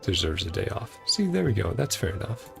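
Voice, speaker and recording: A man's voice: lowish voice, big pitch swings, a little fast, creaky, over loud music. A man livestreaming a video game, chatting casually in rambling asides and reading dialogue aloud. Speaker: game streamer.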